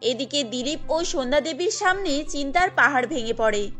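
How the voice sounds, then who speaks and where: A woman with a high voice narrates a cartoon story from a script. She keeps a steady storytelling pace, and faint music plays behind her. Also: normal speed.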